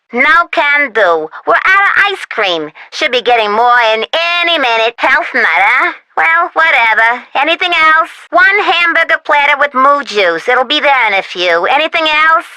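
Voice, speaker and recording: Game role: flippant young service worker, high-pitched and forceful, curt, offhand, dry studio sound. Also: female voice, no echo, exaggerated voice. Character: bored, brusque young woman taking food orders and calls. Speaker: room service and hotel operator character. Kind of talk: video game voice acting.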